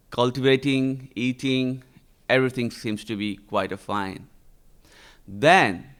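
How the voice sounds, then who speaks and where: A man from Nepal giving a conference lecture in English, speaking slowly and deliberately with frequent fillers and tag questions. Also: medium pitch, a bit bright. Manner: slow and deliberate, frequent "uh" and "right?". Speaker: researcher giving a talk. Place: conference hall.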